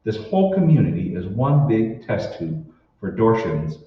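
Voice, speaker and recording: A man plays a determined radio-drama hero with a fairly low, animated voice. He is urgent and emphatic. The recording is muffled and very echoey, as from far off. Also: moderate pace. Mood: urgent, astonished. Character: determined, earnest man uncovering a conspiracy. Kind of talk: old-time radio drama acting. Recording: echoey hall, distant, muffled, noisy.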